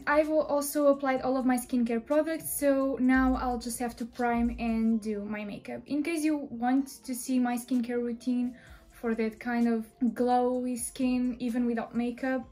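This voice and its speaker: A woman's voice: fairly high pitch, fairly even intonation, unhurried, mellow. Young woman with a Portuguese accent. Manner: friendly, chatty, explaining step by step. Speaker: beauty vlogger. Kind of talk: makeup tutorial talk to camera. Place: small bedroom indoors.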